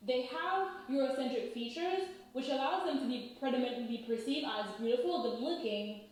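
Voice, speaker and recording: A woman in her thirties from Haiti, explaining in a measured, lecturing way and often checking in with "right?". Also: high voice, darkish tone. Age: 30s. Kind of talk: explanatory talk. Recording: very echoey room.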